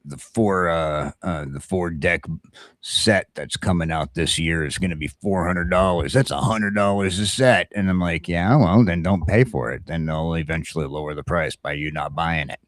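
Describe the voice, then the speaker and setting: Low-voiced guy hosting a chat show, blunt and sweary, trips over his words, dry close sound. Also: moderate pace, a bit bright, no room sound. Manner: blunt, opinionated, crude ranting with swearing; stammering, repeats words as he talks. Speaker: host of a morning chat show. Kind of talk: casual chat.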